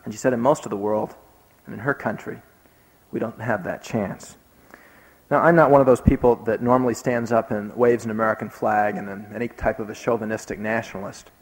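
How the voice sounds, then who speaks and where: A man's voice: on the low side, steady tone, a little fast. A man recounting a trip abroad, telling the story in an earnest, emphatic way. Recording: long hall reverb.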